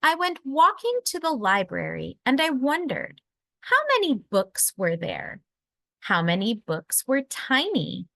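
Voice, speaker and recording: Woman doing a kids picture book read aloud, really bright voice, slow n expressive, super dry sound. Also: high voice, gravelly, no room sound. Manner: deliberate, expressive storytelling for young children. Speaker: children's book reader.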